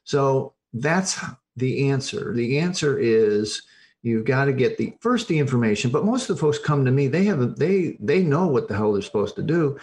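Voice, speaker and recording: An older man speaks as an interview guest in a mid-pitched voice. He explains things like a coach, plainly and emphatically, driving points home in short bursts. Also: big pitch swings, normal speed, darkish tone.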